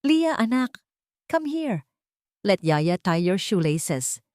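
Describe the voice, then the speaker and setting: A woman voicing a gentle, devout housemaid and nanny in an audio drama, speaking softly and respectfully. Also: medium pitch, very animated, takes it slowly, no room sound, clean studio sound. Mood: tender. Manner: soft, respectful, tender.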